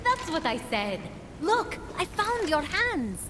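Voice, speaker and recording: Eager high-voiced young heroine. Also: female voice, big pitch swings, takes it slowly, heavy background noise, exaggerated voice. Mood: upbeat. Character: eager young heroic female warrior, earnest and impatient. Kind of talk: video game cutscene acting.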